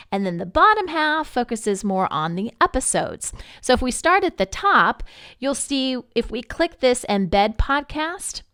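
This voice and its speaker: A woman giving an informal software tutorial in a conversational, easygoing, explanatory way. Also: mid-range voice, smooth, dry recording, studio quality. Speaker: podcast network representative giving a software tutorial. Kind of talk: informal screen-share tutorial walkthrough.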